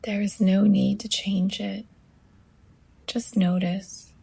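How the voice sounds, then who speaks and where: A woman guiding a relaxation meditation as a yoga teacher, in a hushed, breathy near-whisper, gently and with long pauses between phrases. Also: medium pitch, level tone.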